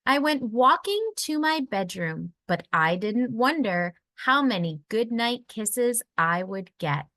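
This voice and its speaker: A woman reading a children's picture book aloud in a deliberate, expressive storytelling manner for young listeners. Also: a little high, no echo.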